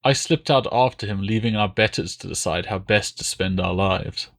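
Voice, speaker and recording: A man's voice: fairly low pitch, normal speed, vocal fry. A man narrating a historical novel as a scripted audiobook.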